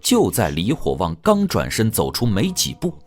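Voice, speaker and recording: A male audiobook narrator with a deep voice. He tells the story dramatically, with lively inflection and faint music underneath. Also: normal speed.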